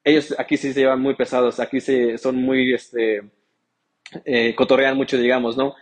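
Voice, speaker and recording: A man's voice: mid-range voice, flat delivery, normal speed. A young man who grew up in the United States with English as his first language, speaking as an interview guest in a hesitant way, with many fillers and restarts. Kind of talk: casual interview talk.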